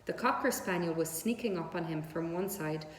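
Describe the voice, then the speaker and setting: A woman's voice: on the low side, moderate pace. Woman reading a children's story, echoey room. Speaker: story reader. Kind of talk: children's read-aloud narration. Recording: echoey room with long reverb.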